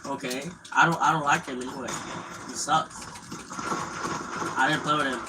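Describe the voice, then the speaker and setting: A man's voice: mid-range voice, fairly even intonation, normal speed, noisy background. A young man streaming a video game live, trash-talking other players in crude, profane, mocking banter. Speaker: gaming streamer.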